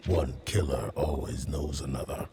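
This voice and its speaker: An actor playing a grim, vengeful undead killer in a game, with a gravelly rasp and a terse, menacing delivery. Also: male voice, moderate pace, dark tone, slight background noise. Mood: guarded, cold. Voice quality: very deep gravelly rasp, at times breathy. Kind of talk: cinematic game acting.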